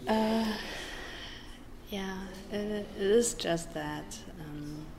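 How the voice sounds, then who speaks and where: Hesitant German woman with gravelly voice. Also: mid-range voice, noisy background. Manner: hesitant, halting, many fillers and restarts. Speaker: interview guest. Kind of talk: personal interview.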